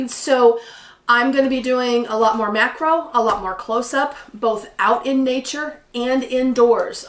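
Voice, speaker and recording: A woman vlogging casually to camera, a little hoarse and clearing her throat now and then, chatty and excitable. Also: medium pitch, roomy sound. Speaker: photography vlogger. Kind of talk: casual vlog talk to camera. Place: living room at home by a patio door.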